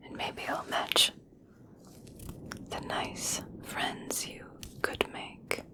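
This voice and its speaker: Female performer as regal elf princess for fantasy ASMR: whispered, unhurried, gracious and gently coaxing. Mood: soothing, inviting. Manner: soft, slow, soothing, formal. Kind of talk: whispered ASMR personal attention roleplay.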